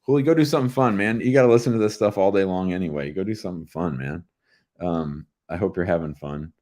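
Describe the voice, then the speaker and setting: A man's voice: sits low, fast, a little mellow. A man with an American accent hosting a live call-in show, chatting casually with callers and viewers.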